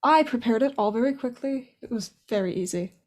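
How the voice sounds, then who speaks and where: A woman's voice: a little high, expressive, a little fast, darkish tone. A woman playing a polite, matter-of-fact butler in an amateur radio play. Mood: obliging, matter-of-fact. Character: obliging, matter-of-fact household butler Charlie.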